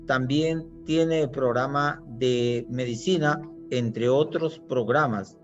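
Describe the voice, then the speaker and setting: A man's voice: mid-range voice, slow, highs cut off, soft background music. A man with a Peruvian accent, a surgeon guest answering interview questions in short, matter-of-fact explanations. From Peru.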